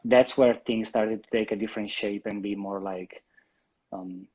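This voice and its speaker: A man in his thirties from Italy with an Italian accent, speaking English as a guest in a live interview, relaxed and hesitant with many ums and restarts. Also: fairly low pitch, moderate pace, husky, lo-fi sound. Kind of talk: casual interview, live stream.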